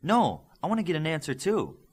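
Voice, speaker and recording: A man's voice: fairly high pitch, sing-song, a little fast, a little mellow, breathy edge, pitched above the performer's own voice. A man voicing an earnest, modest young male superhero with a questioning manner in an audiobook. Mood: puzzled, curious.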